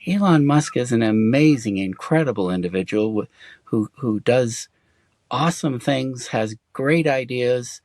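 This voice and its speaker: Halting, hesitant man in dry audio. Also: medium pitch, a little mellow, no room sound. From Germany. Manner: hesitant, halting with many fillers and restarts. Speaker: interview guest. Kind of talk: casual conversation.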